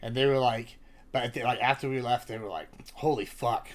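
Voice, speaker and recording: A young woman hosting a casual podcast chat, loud and profane, calling people "bro". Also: rumbling low, brisk. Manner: loud, swearing, says "bro" a lot. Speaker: podcast host.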